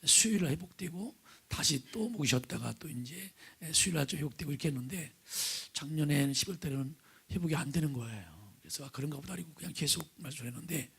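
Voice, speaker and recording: A middle-aged male pastor preaching with a hoarse, raspy voice from vocal nodules, often sinking to a breathy near-whisper and asking the congregation questions. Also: a little high, lively intonation, fast, few pauses, sharp tone. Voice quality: raspy, gravelly. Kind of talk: sermon with opening prayer. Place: church sanctuary, at the pulpit.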